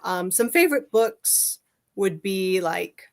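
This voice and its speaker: A woman's voice: mid-range voice, animated, slow pace, creaky. A woman chatting casually as an interview guest, a little hesitant as she searches for words. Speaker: guest writer.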